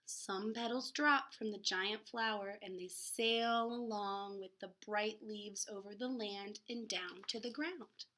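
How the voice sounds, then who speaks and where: A woman's voice: mid-range voice, steady tone, slow pace, few pauses, bright, forceful tone. A woman reading a picture book aloud to children expressively, with dramatic emphasis and casual, chatty asides. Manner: dramatic emphasis, gasps and exclamations.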